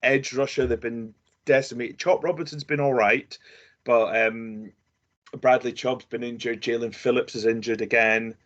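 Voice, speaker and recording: A man co-hosting a casual football draft panel, pausing often with lots of ums. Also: mid-range voice, steady tone, a bit bright. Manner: hesitant, many ums, laughs easily. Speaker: draft analyst, co-host. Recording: dull lo-fi audio.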